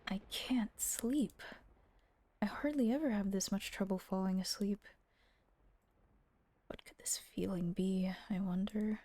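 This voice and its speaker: A woman voicing a fretful young master of a gothic house in a visual novel, brooding to himself in a breathy half-whisper. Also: mid-range voice, dark tone, close to an everyday voice. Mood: uneasy, hushed. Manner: slow, brooding self-talk.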